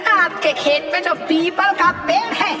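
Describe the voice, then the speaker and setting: Woman as very high-pitched, whining cartoon ghost guru. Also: a little slow, crowd noise. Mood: pleading. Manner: pleading, wailing, groveling. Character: cowardly, whining ghost guru, male, easily beaten. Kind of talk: exaggerated Hindi cartoon dialogue. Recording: distant voice under loud music.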